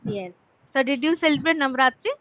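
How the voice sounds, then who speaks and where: High-voiced Indian woman teaching English. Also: lively intonation, moderate pace, fairly bright tone, no room sound. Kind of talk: casual conversation practice.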